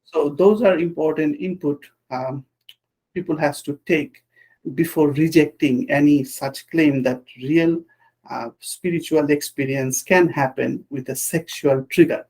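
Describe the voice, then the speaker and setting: Male host from Bangladesh, husky, raised voice, halting, earnest. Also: a little high, darkish tone, rough recording. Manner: earnest, halting with restarts and fillers. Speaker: podcast host. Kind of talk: conversational interview.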